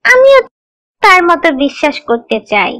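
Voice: very high-pitched, wildly swooping pitch, normal speed, fairly bright tone, no echo, studio quality, exaggerated voice. A performer voicing an eager, curious little rabbit pupil in a children's cartoon, inquisitive and earnest. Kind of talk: scripted children's cartoon dialogue.

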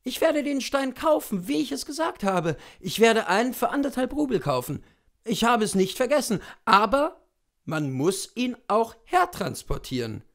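A man's voice: very high-pitched, sing-song, normal speed, gravelly, pitched above the performer's own voice, heavily acted. A man voicing a young coachman who hastily defends himself in a read-aloud story. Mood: defensive.

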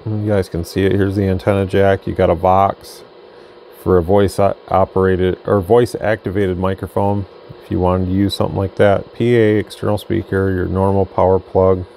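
A man's voice: low voice, level tone, moderate pace, vocal fry, dark tone. A man giving a casual, unscripted hands-on product demonstration at an indoor workbench, talking as he goes. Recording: noticeable background noise.

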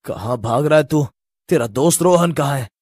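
A man's voice: on the high side, normal speed, darkish tone, husky, dry recording, studio quality. A man voicing the angry, vengeful ghost of a young boy in a menacing, accusing way for a horror story.

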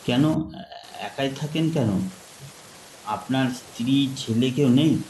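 A man's voice: mid-range voice, takes it slowly, darkish tone, natural voice. A younger male traveller, acted by a storyteller speaks with polite reluctance in a mid-range voice. He sounds deferential, in an echoey recording with faint rain noise. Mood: curious.

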